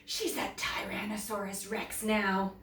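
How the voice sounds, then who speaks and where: A woman from Canada reading to children as a teacher, telling a story expressively and deliberately and putting questions to her listeners. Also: mid-range voice, flat delivery, a bit bright. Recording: roomy, distant mic, faint noise.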